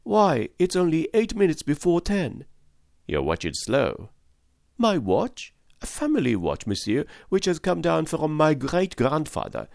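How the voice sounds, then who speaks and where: Guy doing a jumpy talkative french servant voice, high and swooping, close dry mic. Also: wildly swooping pitch, unhurried, gravelly, a little mellow, no echo, heavily acted. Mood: indignant. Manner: voluble, indignant, stubborn. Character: excitable chatty French manservant, young man. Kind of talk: audiobook reading.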